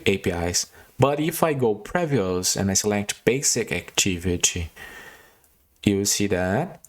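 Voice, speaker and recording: A man from Brazil speaks English with a Brazilian Portuguese accent, his voice sitting a little low. He talks in a relaxed, unpolished way, pausing on fillers and restarting sentences as he explains software setup step by step. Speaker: tutorial host. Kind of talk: casual screen-recorded coding tutorial.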